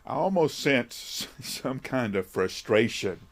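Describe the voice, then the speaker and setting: A man hosting a casual interview, relaxed, dropping in short affirmations. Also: medium pitch, takes it slowly. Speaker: host, pastor.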